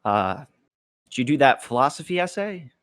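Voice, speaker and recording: A man's voice: medium pitch, very animated, moderate pace, fairly bright tone, no echo, clean studio sound. A man playing a nervous, self-deprecating college freshman with a hesitant delivery in a script table read. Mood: nervous, awkward. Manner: hesitant, stammering when nervous.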